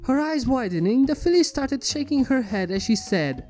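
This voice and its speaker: A woman's voice: high-pitched, lively intonation, normal speed, a little mellow. A woman reading a scripted fan fiction story as its narrator. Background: background music.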